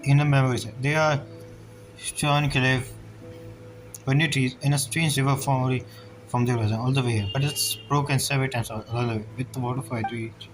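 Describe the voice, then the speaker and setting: A man giving halting, think-aloud gameplay commentary as a streamer, with music playing behind him. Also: mid-range voice, level tone, poor audio. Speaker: gaming streamer. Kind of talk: game commentary, reacting and narrating.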